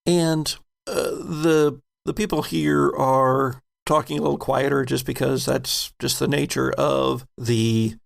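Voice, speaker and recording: Very gravelly man narrating a game. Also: medium pitch, fairly even intonation, slow. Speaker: game master. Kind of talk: improvised scene narration for a tabletop game.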